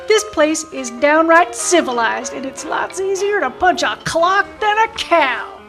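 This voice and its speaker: A woman's voice: high voice, animated, unhurried, music in the background. A woman playing a brassy, flirtatious, bossy saloon madam with folksy frontier speech in an amateur radio western. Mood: sassy. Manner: sassy, folksy frontier grammar. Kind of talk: amateur old-time radio play acting.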